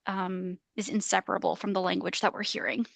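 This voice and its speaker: Creaky-voiced woman on a video call. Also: mid-range voice, little pitch movement, fairly quick, no echo. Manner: hesitant, frequent restarts and fillers. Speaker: researcher on a discussion panel. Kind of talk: academic round-table discussion.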